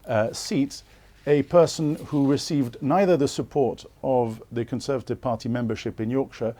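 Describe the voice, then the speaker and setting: Male interviewee, warm mellow timbre, earnest and insistent, hesitant delivery. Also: mid-range voice, steady tone, moderate pace. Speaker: interviewee, former political candidate. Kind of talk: live political TV interview.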